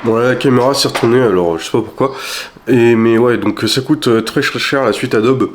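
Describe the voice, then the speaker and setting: A man's voice: medium pitch, brisk. Young man, casual vlog, stuffy cold voice, rambling fillers, faint background hiss. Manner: rambling, many fillers and restarts. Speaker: vlogger. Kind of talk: casual weekly vlog chat.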